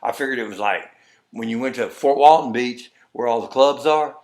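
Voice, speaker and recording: A man's voice: mid-range voice, normal speed, a little mellow. A middle-aged man with a Texas accent, a guest telling a long story and acting out what others said. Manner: rambling, acts out quoted dialogue. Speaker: interview guest. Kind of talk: conversational storytelling.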